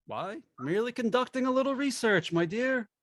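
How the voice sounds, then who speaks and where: Tipsy henpecked husband, florid and wheedling, swooping pitch, 1950s radio drama, dry sound. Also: male voice, lively intonation, fairly quick, a bit bright, no room sound. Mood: flattering, grandiose. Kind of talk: 1950s old-time radio acting.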